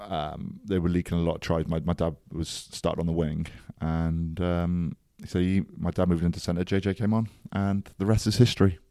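Deep-voiced man rambling about rugby. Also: little pitch movement, normal speed, few pauses, dark tone, no room sound, studio quality. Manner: rambling, frequent ums, enthusiastic about rugby. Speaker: podcast co-host. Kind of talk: casual sports chat.

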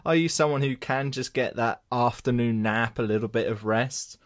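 A man's voice: medium pitch, moderate pace. A man interviewing a guest, hesitating and repeating words as he forms his questions.

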